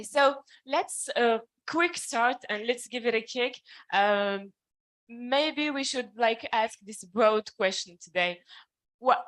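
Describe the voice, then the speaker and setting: A woman moderating a panel, briskly calling on speakers and posing questions. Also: mid-range voice, a bit bright. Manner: brisk, prompting speakers by name.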